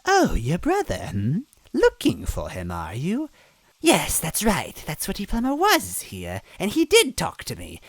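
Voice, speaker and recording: A woman's voice: sits low, wildly swooping pitch, unhurried, no echo, pitched above the performer's own voice, heavily acted. A woman voicing a hideous, gleefully cruel bean witch in a storybook reading, taunting with a theatrical sneer. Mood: gleeful mockery.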